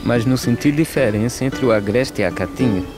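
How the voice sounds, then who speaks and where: An actor playing a weary, resigned young migrant in an animated film, reciting verse solemnly. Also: male voice, medium pitch, lightly acted. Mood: weary resignation. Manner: solemn, measured verse delivery. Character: weary young male drought migrant, prematurely aged, resigned. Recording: plucked guitar music behind the voice.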